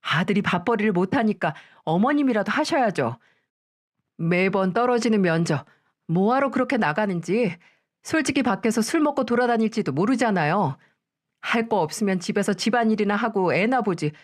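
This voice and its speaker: A woman's voice: medium pitch, close to an everyday voice. A woman voicing a cold, contemptuous daughter-in-law who talks back curtly and sharply in a story read aloud. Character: cold, scornful woman in her middle years, the daughter-in-law.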